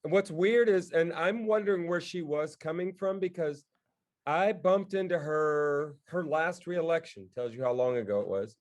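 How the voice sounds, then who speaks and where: A man's voice: on the high side, normal speed. A man co-hosting a podcast, telling anecdotes in casual conversation. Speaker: podcast co-host.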